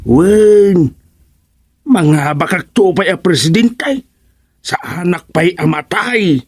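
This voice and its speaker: Eager elderly Ilocano villager, slow and drawling, for radio comedy played by a male actor. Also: high voice, animated, darkish tone, breathy edge, exaggerated voice. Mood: resigned, stubborn. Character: eager elderly village man, seniors' club presidency hopeful.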